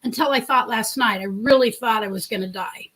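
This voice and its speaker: A woman's voice: on the high side, fairly quick, fairly bright tone, slightly rough. A middle-aged woman hosting a livestream, chatting in a rambling way, telling a story and answering comments from her chat. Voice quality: big voice. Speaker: livestream host. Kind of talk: casual live-chat storytelling.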